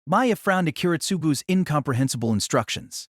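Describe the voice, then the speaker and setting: A man's voice: on the high side, animated, a little fast, no echo, clean studio sound. A man reading scripted narration for an audio drama adaptation of a novel.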